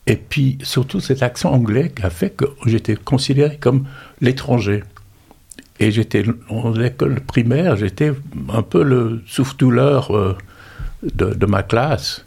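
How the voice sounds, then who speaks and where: A man's voice: medium pitch, measured pace, creaky, darkish tone, slightly rough. A man near eighty, a climate activist guest, telling his life story in a thoughtful, self-deprecating way. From raised in the United States. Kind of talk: reflective personal storytelling.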